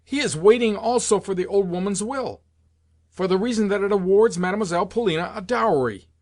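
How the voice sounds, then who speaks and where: A man voicing an excitable, bitter, jealous young man in an audiobook novel, speaking fervently in exclamations. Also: high voice, normal speed, no room sound, clean studio sound, voice pushed up, natural voice. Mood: furious, bitter. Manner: fervent, exclamatory, broken repetitions.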